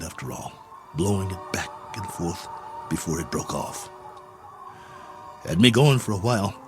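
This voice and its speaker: An actor playing a lonely, stranded astronaut colonel in an old television drama, brooding and wry as he muses to himself. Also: deep voice. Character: stranded astronaut colonel, weary, lonely, anxious but dogged. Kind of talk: 1960s television drama acting, monologue log entries. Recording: old television soundtrack with music underneath.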